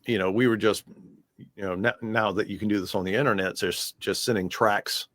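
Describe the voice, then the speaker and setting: A man's voice: fairly low pitch, fairly even intonation, a little fast, a bit bright, no echo. An American man chatting casually in a music interview as the guest, talking in a loose, rambling way.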